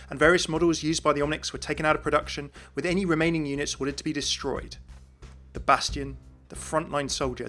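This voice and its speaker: A man with a slightly higher-than-average voice narrates a scripted lore video. He phrases it like a dramatic story, measured and deliberate. Faint guitar music plays behind him. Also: fairly bright tone.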